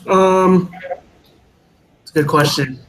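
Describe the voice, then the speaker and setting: A man in his late twenties on a panel, answering student questions about his engineering career with measured advice and frequent pauses. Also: high-pitched, fairly bright tone, slight background noise, poor audio. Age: 20s. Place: college presentation event.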